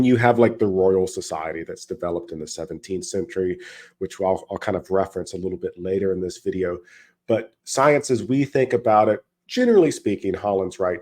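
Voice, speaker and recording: A man's voice: lowish voice, warm tone. A man, a history and philosophy educator, giving commentary on a video clip while getting over a cold, sounding a little nasal. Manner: measured, explanatory, repeats phrases for emphasis.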